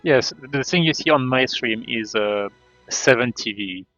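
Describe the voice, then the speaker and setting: A man streaming a game, chatting casually and haltingly, repeating words as he explains things. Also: medium pitch, bright, forceful tone, dry recording. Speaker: live streamer. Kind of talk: casual livestream chat while gaming. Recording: dull lo-fi mic, faint music behind. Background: faint game music.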